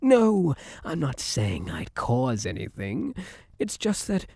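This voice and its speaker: A man acts out a smug, possessive male spirit of luck in an intimate ASMR roleplay. He takes his time, with a gravelly creak and pitch that swoops theatrically. The manner is coaxing and suave, with menace underneath. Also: huge pitch swings, slow pace, a little mellow, no room sound, exaggerated voice. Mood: veiled threat. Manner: theatrical, coaxing, old-fashioned flowery slang and endearments.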